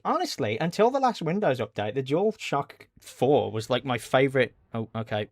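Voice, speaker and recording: A male game streamer with a middling-pitched voice talks casually to his stream. He mutters, apologises and swears as he goes, with music playing behind him. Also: sing-song, moderate pace, dry recording. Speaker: game livestreamer. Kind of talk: casual live stream chat. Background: game music, keyboard typing.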